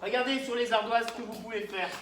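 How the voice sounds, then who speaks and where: A man's voice: very high voice, fairly even intonation, fast talker, noisy background. A male kindergarten teacher with a stuffy nose from a cold, leading a playful lesson and coaxing young children along. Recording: echoey room, voice a bit far from mic. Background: children talking nearby.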